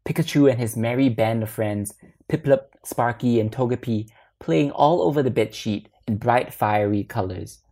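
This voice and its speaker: A woman reading a literary short story aloud as narrator, in a measured and expressive manner. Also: extremely low pitch.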